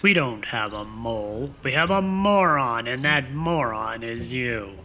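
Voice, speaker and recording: A man plays a stern male spy boss in a comic radio drama. He speaks slowly and deliberately, dry, sardonic and commanding, with worn-thin patience and clear noise behind the voice. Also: medium pitch, animated, dull audio, lightly acted. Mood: scornful, dry. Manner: slow, deliberate, exasperated, sardonic. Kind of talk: comic radio theater acting.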